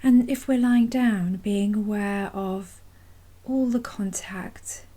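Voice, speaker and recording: Woman, meditation guide, soft and husky, dark mellow tone, gentle, slow unhurried delivery, long pauses. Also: medium pitch. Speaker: mindfulness practice guide. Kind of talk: guided body scan meditation.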